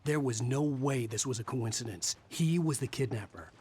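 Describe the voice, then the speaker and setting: An actor playing a brooding writer who narrates his own nightmare in grave, measured first-person storytelling. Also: mid-range voice, heavy background noise, close to an everyday voice. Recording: game music behind.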